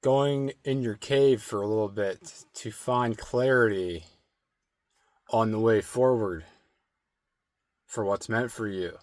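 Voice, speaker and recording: A man giving a slow, meditative spirit card reading with a strained, slightly hoarse throat and many filler words. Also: lowish voice, no echo. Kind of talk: intuitive oracle card reading.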